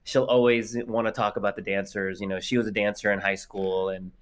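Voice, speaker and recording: A man's voice: fairly low pitch, flat delivery, a little fast. A man in his forties, born in the Philippines and raised in Virginia, speaking. He is an actor talking about his life in an interview. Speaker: guest actor. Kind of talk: interview conversation.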